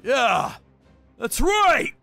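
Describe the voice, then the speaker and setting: A man voicing a brash, hot-headed young gangster with a punchy, forceful delivery in an animated manga dub. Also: very high-pitched, drawn-out words, creaky, no echo, soft background music, exaggerated voice. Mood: bossy.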